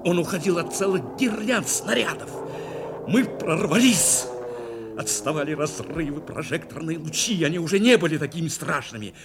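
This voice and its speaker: An actor playing a grave, intense bomber crewman who recalls a wartime raid in measured, dramatic radio-play narration. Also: fairly high pitch, very animated, background noise. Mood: urgent, dramatic. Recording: 1970s radio drama with loud music bed.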